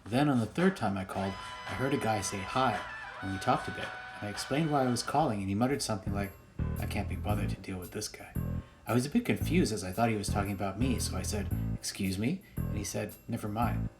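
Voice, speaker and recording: A man's voice: lowish voice, quick pace, vocal fry, over music. A man playing a helpful, insistent school principal in a radio play, explaining things conversationally. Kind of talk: amateur radio drama dialogue.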